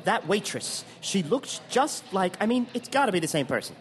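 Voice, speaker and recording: An actor playing a flustered, excitable old tailor in a comic audio play, stammering and exasperated. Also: male voice, high voice, normal speed, in a large hall. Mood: insistent. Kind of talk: comic audio theatre acting.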